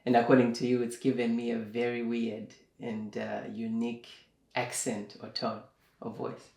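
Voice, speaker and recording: A young man from Zimbabwe, a guest in a casual interview, speaking slowly and thoughtfully, with plenty of fillers. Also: mid-range voice, monotone, creaky, darkish tone. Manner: slow and reflective, with many fillers. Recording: echoey room.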